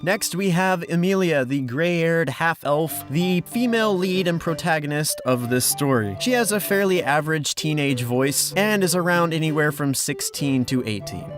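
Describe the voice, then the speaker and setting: Casual, higher-voiced man presenting over music. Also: normal speed, hardly any pauses. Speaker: fan dub project director and host. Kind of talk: casual scripted casting-call narration. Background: background music.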